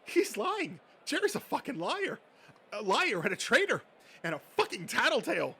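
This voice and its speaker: A man's voice: extremely high pitch, wildly swooping pitch, moderate pace, vocal fry, pushed and bright, husky, exaggerated voice. A man voicing a foul-mouthed, bitter, whiny comic book nerd, agitated and melodramatic, in an amateur dub. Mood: bitter outrage.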